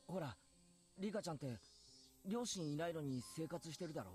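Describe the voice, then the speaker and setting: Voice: medium pitch, expressive, rapid-fire, darkish tone, close to an everyday voice. An actor playing a polite, inquisitive teenage boy in a visual novel. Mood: apologetic, curious. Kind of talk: Japanese visual novel voice acting. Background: game music.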